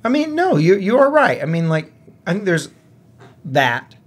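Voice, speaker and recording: A man's voice: high voice, sing-song, normal speed, breathy edge. A man co-hosting a casual gaming podcast, talking in an earnest, conversational way. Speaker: podcast co-host.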